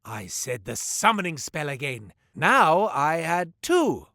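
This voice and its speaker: Man playing a fast-swinging, animated oddball shopkeeper in a game, higher-pitched, dry studio sound. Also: creaky, no echo. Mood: chatty. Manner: theatrical, rambling storyteller with comic asides. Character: chatty eccentric male merchant telling tall tales. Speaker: game character merchant. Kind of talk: scripted game voice lines.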